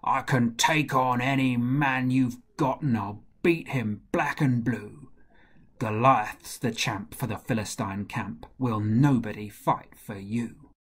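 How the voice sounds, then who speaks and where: Man playing a boastful, loud giant warrior. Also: medium pitch, slow, breathy edge. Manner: boastful, taunting, singsong rhyming delivery. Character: fierce, proud, loud giant warrior champion, boastful and mocking.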